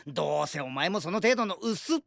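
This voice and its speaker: Cocky crude anime guy, mocking everyone, pitch jumps all over. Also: lively intonation, brisk, dry recording, clean studio sound. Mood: taunting. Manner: rough, mocking, provocative speech. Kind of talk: Japanese anime audio drama acting.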